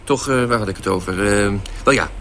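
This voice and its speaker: A man's voice: lowish voice, fast talker, fairly bright tone. A male actor playing a flighty, shameless jobless taxi passenger in a radio play, glib and digressive. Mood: glib, rambling. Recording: clear vehicle and street noise.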